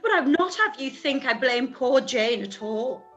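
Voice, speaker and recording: A woman's voice: sits high, lively intonation, moderate pace, a bit bright, dull audio, soft background music. A woman playing a fretful, self-pitying middle-aged mother in a period radio play, complaining and exclaiming melodramatically. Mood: tearful grievance.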